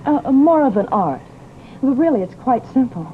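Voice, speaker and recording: An actress playing a coy, unflappable hostess in an old-time radio drama, teasing and solicitous. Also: female voice, high-pitched, sing-song, normal speed. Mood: soothing, coy. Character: coy, unflappable woman hosting a haunted house guest. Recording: muffled, noisy vintage radio recording.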